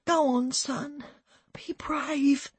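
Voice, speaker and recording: A woman playing a desperate mother in an audiobook, pleading with her young son in a halting, sobbing, breathy voice. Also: high voice, lively intonation, no room sound, voice pushed up. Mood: sad, tender.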